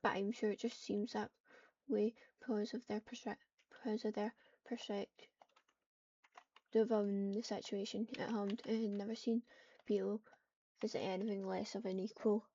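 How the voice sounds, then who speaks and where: A woman's voice: mid-range voice, little pitch movement, moderate pace, pauses often, dark tone. A woman improvising story narration as she roleplays in a game. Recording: dull, muffled lo-fi microphone.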